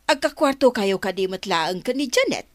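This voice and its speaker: An actress with a Filipino accent playing a chatty, nosy older aunt in a radio drama. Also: female voice, mid-range voice, sing-song, moderate pace, no room sound, clean studio sound, lightly acted. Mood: surprised, prying.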